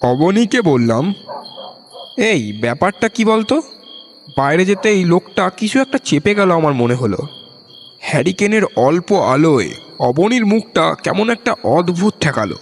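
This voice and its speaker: A man's voice: on the high side, sing-song, normal speed, slight background noise. A man narrating a scripted first-person ghost story in a storyteller's style.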